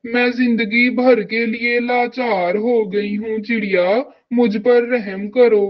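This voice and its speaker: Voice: mid-range voice, steady tone, takes it slowly, highs cut off, close to an everyday voice. An actor voicing a female crow, a landlord's scheming wife, in a cartoon. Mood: weak, pleading. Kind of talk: Hindi cartoon dialogue.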